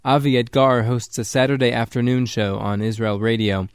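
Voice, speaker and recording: A male radio reporter reading a scripted news story in an even, clear manner. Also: mid-range voice, normal speed, dry recording, studio quality.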